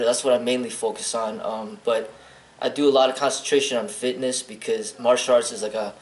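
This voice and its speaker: A man of medium pitch from Chicago speaks as an interview guest, blunt and earnest. He rambles, restarts phrases and fills gaps with "um". His voice sits in a roomy, echoey space. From Chicago, United States. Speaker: martial arts instructor, guest. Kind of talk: interview answers.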